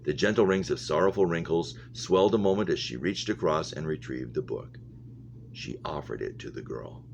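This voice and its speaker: A man's voice: extremely low pitch, moderate pace, creaky. A man reading a novel aloud, stumbling over words now and then and going back over phrases. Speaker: reader, English teacher. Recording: muffled lo-fi audio with humming noise.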